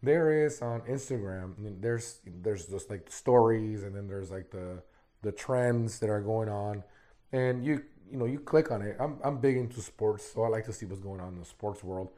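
A man's voice: lowish voice, normal speed, warm tone. A man hosting a casual solo podcast, talking in a rambling way with repeated words, his voice still recovering after he lost it. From Arizona, United States. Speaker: podcast host.

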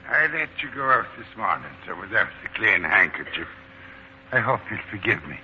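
Very deep-voiced, devoted manservant. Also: male voice, big pitch swings, normal speed. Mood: devoted, earnest. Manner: formal, earnest. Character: devoted, solemnly deferential manservant, quaintly earnest. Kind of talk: 1940s radio acting. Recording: muffled, noisy 1940s radio recording.

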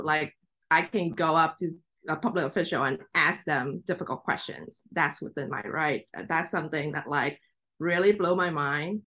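A woman's voice: low voice, a bit bright. A young woman from Vietnam whose first language is Vietnamese, telling her story in a chatty, reflective way with light laughs. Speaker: guest journalist. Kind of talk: casual interview talk.

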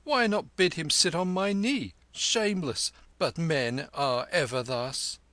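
A man voicing a scolding middle-aged peasant wife with a shrill, scornful manner. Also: slow, pushed and bright, dry recording.

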